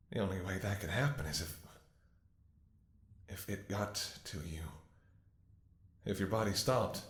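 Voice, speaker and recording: A man playing a devoted, desperate doctor caring for his infected lover in an emotional, halting ASMR roleplay, with an echoing sound. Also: on the low side, natural voice. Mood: anguished, angry. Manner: halting, stammering, emotional delivery. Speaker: voice actor in an audio roleplay. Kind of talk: ASMR dramatic roleplay monologue.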